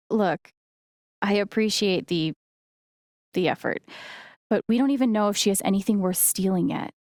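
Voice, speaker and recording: An actress playing a friendly, wry and earnest young woman in a video game, talking in a casual, gently teasing way. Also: mid-range voice, measured pace, long pauses, dry recording, clean studio sound, natural voice. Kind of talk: naturalistic video game dialogue acting.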